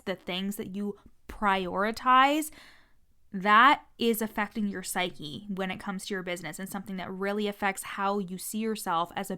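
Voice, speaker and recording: A woman's voice: medium pitch, pushed and bright, smooth. A woman business coach talking frankly in a casual podcast monologue, swearing freely, with a stutter.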